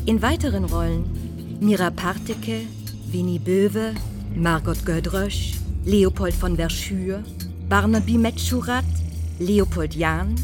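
A woman reading radio drama credits as a scripted announcement in a measured, formal manner. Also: mid-range voice. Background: music.

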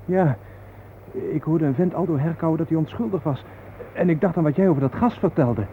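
A man's voice: on the high side, a little fast, natural voice. An actor playing an uneasy male visitor to old battlefields in a radio play, hesitant and full of questions. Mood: startled. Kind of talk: naturalistic radio play acting. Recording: noisy narrow-band old radio recording.

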